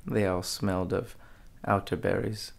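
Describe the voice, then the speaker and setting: Young guy doing asmr as a cocky menacing gang leader, very gravelly, slow n hushed, pretty flat. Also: sits low. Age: young adult. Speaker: ASMR performer. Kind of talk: ASMR role play, scripted monologue. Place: small dim room indoors.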